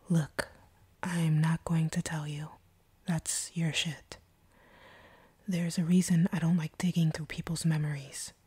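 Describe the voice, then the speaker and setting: A woman playing an ancient vampire captor, stern but softening, in a breathy, husky whisper for an intimate audio roleplay. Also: deep voice, dry recording, full character voice. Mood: guarded, firm. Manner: slow, deliberate, hushed.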